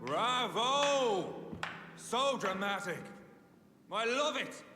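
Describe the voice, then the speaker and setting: An actor playing a menacing, coolly confident vampire hunter in a game, with a sardonic, taunting manner. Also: male voice, very high-pitched, expressive, very slow, music in the background, full character voice. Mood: mocking. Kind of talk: dramatic game dialogue. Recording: echoey hall, noisy background.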